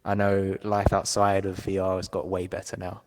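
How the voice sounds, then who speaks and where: A man's voice: deep voice, monotone, moderate pace, a little mellow, in a large hall, a little hiss or hum. A man interviewing a guest, easygoing, with lots of short murmured acknowledgements. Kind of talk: casual interview.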